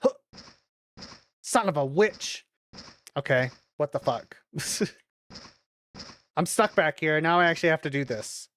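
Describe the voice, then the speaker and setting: A woman's voice: low-pitched, expressive, a little slow, long pauses, slight background noise. A woman streaming a video game, commenting casually with a lot of exasperated swearing and exclaiming. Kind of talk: casual live gameplay commentary.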